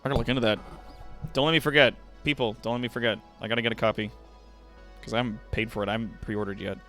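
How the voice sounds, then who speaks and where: A man's voice: on the low side, fairly even intonation, rapid-fire, sharp tone, faint music behind. A man hosting a livestream, chatting casually and directly to viewers with slangy, profane language. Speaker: livestream host and content creator. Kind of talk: casual stream chat.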